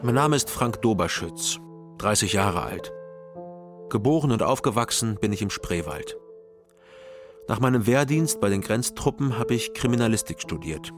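Man as dry thirtyish detective telling his story in a radio play, very low voice, music underneath. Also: animated, moderate pace, close to an everyday voice. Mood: dry, reflective. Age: 30s. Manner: dry, reflective, laconic. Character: thirty-year-old sober, lonely private detective narrating. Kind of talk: scripted first-person radio drama narration.

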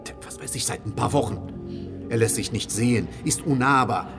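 An actor playing an anxious, secretive merchant conspirator in a German radio drama, speaking in a measured, conspiratorial manner. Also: male voice, medium pitch, lightly acted. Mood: worried. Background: music.

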